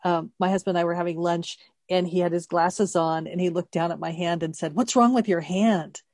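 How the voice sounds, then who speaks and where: A woman in her sixties talks through her thoughts in a relaxed, unhurried chat. Her voice sits fairly low and the sound is dry and close, with no room behind her. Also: no echo. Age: 60s. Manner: thoughtful, explains at length, laughs easily. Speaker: co-host. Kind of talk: casual chat.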